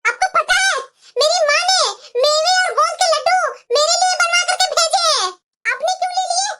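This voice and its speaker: A woman playing a bossy, entitled young daughter-in-law in a family drama, demanding and sharp. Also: very high-pitched, a little fast. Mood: angry scolding. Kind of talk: Hindi family drama story dialogue.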